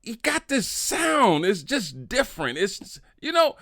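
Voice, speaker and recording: A middle-aged man hosting a casual interview podcast, talking in an animated, effusive way with plenty of slang. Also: high voice, unhurried, no room sound.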